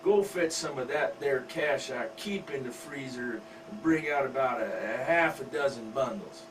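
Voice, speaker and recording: A man voicing a crude, indulgent, rich middle-aged country husband with a loud, coarse drawl. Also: medium pitch, normal speed, lightly acted. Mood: boastful. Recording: distant mic with faint hum.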